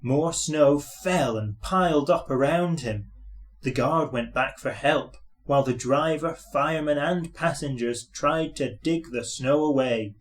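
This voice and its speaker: A man's voice: fairly high pitch, measured pace. A man reading a children's story aloud as scripted narration.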